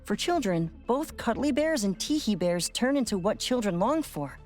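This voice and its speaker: A woman's voice: fairly high pitch, sing-song, moderate pace, a little mellow, soft background music. A woman playing a thoughtful, knowledgeable lore expert in a video game, precise and explanatory. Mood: informative.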